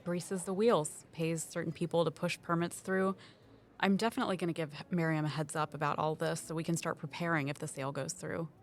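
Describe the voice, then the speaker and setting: A woman's voice: low-pitched, fairly even intonation, brisk. A woman acting in an audio drama as a shrewd, suspicious colleague who explains things in a dry, matter-of-fact way. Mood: knowing. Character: shrewd, knowing female colleague, quietly suspicious investigator.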